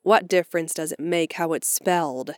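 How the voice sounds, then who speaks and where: A woman voicing a stern, practical middle-aged spinster who talks briskly and curtly in a storybook reading. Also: on the low side, clean tone, no echo, clean studio sound, natural voice. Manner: brisk, curt, no-nonsense.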